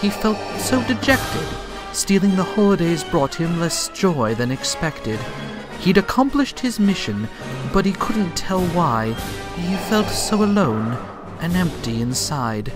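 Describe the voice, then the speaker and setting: A man's voice: high-pitched, very animated, a little slow, music in the background. A man narrating a rhyming story in a dramatic, theatrical storybook style.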